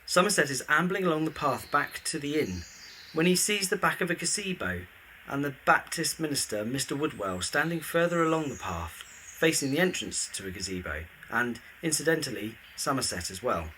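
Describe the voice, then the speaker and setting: Guy narrating an audio drama, bright voice, fairly lively, faint noise behind. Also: on the high side, a little fast, poor audio. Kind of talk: scripted present-tense drama narration.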